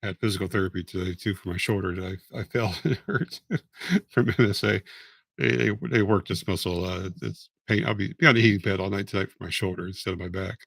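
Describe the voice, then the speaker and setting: A man going live on a casual stream, hoarse and raspy, talking in a rambling, halting way with frequent restarts. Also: low voice, fairly even intonation, fairly bright tone, dry recording, clean studio sound. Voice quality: raspy, voice fading. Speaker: patient vlogger going live.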